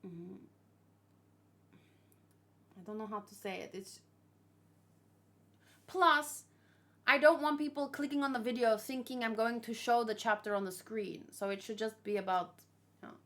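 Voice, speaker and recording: A woman's voice: fairly high pitch, a little slow, long pauses, a bit bright. A woman chatting casually and unscripted, thinking aloud and asking questions as she hosts a reaction video. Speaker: manga reaction host.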